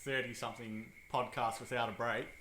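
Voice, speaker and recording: A man's voice: mid-range voice, little pitch movement, brisk, bright, forceful tone. A man chats easily on a podcast, self-deprecating and full of ums. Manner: self-deprecating, easygoing, lots of "um". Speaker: podcast co-host. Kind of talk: casual chat. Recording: echoey room with light noise.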